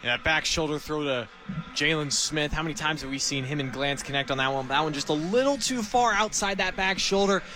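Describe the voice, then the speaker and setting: An American man serves as color analyst on a football broadcast. His voice is fairly high with a sharp, bright tone. He breaks down each play in conversational live commentary. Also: a little fast, a little hiss or hum.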